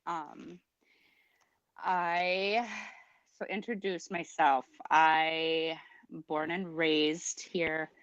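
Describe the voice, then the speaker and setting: A woman introducing herself as a new school board member, talking in a rambling, halting, earnest way. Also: deep voice, steady tone, fairly bright tone, smooth, no echo, lo-fi sound. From Prairie Island Indian community, Minnesota. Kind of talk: informal self-introduction at a meeting.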